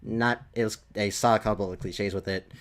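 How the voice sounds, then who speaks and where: A man with a fairly low voice hosts a casual podcast conversation. He talks haltingly, circling back and repeating words as he finds his way through a sentence. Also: fairly even intonation. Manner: hesitant, halting, repeats himself. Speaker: podcast host.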